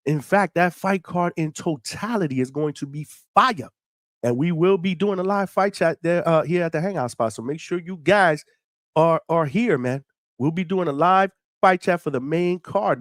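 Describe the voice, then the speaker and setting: A man's voice: a little high, moderate pace, no room sound, clean studio sound. Slangy, hyped New man hosting. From New York City, United States. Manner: slangy, hyped, shouting out viewers, swearing freely. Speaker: host of a live boxing call-in show. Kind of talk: casual livestream chat with viewers.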